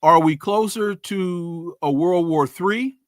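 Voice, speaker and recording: A man's voice: high voice, takes it slowly, no echo, clean studio sound. A man hosting a podcast, giving emphatic commentary with rhetorical questions and folksy sayings before bringing on his guest. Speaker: podcast host. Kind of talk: news commentary and interview opening.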